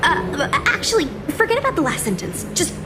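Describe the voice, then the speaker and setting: An actress playing an eager, chatty young recruit knight in a video game, earnest and a little proud. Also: female voice, very high-pitched, wildly swooping pitch, a little fast, very noisy, exaggerated voice. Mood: candid, sheepish.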